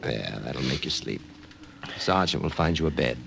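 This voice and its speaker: An American man acting in a 1950s radio drama as a calm, curious military doctor with a measured manner. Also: very deep voice, vocal fry, full character voice. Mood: reassuring. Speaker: radio actor. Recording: noisy old 1950s radio recording.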